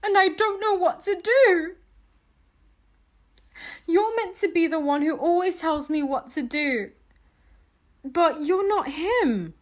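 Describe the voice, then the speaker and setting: High voice, unhurried, woman acting young female time traveller, desperate, emotional, halting, audio play. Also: animated, full character voice. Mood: distraught, angry.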